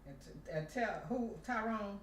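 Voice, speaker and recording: A woman's voice: mid-range voice, animated, unhurried. An older woman with a rural Southern US accent, a grandmother making short boastful remarks from the background of a home livestream.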